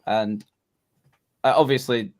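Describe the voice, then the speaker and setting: A man's voice: mid-range voice, steady tone, slow. A man co-hosting a music review podcast, chatting casually, swearing and rambling until he loses his train of thought. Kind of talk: casual chat.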